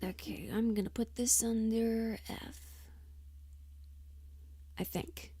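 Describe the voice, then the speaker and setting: A woman livestreamer chatting casually and thinking aloud in an unhurried, musing way, often trailing into half-whispers. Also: on the high side, steady tone, mellow, husky. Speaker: audio roleplay performer and livestream host.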